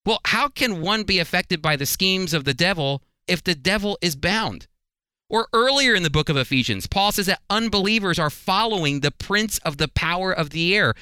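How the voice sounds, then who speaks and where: A man with a crisp, forceful voice delivers Bible teaching. His pitch sits a bit high and moves in lively swings as he explains each point emphatically and puts questions straight to the listener. The sound is dry and close. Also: no room sound. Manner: emphatic, explanatory, rhetorical questions and folksy direct address. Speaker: Bible teacher and programme host.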